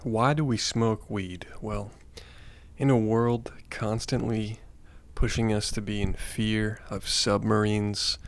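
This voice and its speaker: A man's voice: fairly low pitch, little pitch movement, slow pace. Laid-back young man, mock-serious monologue. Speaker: comedy vlogger. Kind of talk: deadpan comedy monologue. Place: small room with green-screen backdrop. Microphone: handheld mic held close.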